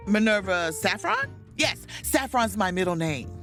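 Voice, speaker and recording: A woman acts a wry, overworked female starship officer trying to relax, in a romantic comedy audio drama. Her voice swings widely in pitch, lingers in its slow pace, and breaks into awkward muttered asides. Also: very animated, fairly bright tone, faint music behind. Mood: flustered, flirty.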